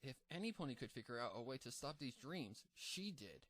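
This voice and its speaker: A man narrating a dark fan fiction story in a measured, somber reading. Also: mid-range voice, sing-song, dry recording. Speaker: fanfic narrator.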